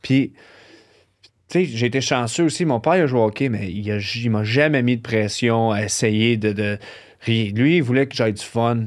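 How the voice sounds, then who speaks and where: A man with a Québécois accent, a hockey player being interviewed, telling stories in a relaxed, rambling way. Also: mid-range voice, moderate pace. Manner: relaxed, rambling, lots of "tu sais". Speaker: professional hockey player, guest. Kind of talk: casual interview storytelling.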